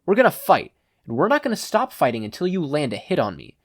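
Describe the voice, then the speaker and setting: A reader putting on a lion hero mentor from a superhero tale. He is commanding and goading, with a predatory edge and loud, raised, swinging delivery. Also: mid-range voice, huge pitch swings, fast, voice pushed up. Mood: challenging.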